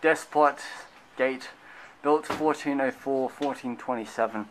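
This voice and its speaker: A man's voice: medium pitch, measured pace, slight background noise, poor audio. A man in his thirties chatting casually while walking through a park, filming himself at arm's length, rambling with lots of fillers. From United Kingdom. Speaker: travel vlogger. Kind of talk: casual walking tour commentary. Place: outdoors in a city park and fortress. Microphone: handheld camera at arm's length.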